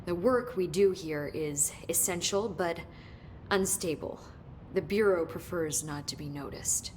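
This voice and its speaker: An eager woman researcher in a video game, played by an actress, in her thirties. Her voice sits a little low, and she explains things in an articulate, analytical way over a faint rumble. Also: unhurried, darkish tone, lightly acted. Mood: eager, thoughtful. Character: eager, chatty woman researcher at secretive bureau. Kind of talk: naturalistic game acting, explanatory dialogue.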